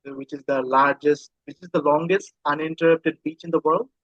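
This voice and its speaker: Man, Bangladeshi accent, native Bengali speaker, slightly high voice, hesitant with repeated phrases, interview guest, dull lo-fi recording. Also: steady tone, moderate pace, a bit bright, no echo. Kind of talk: casual interview conversation.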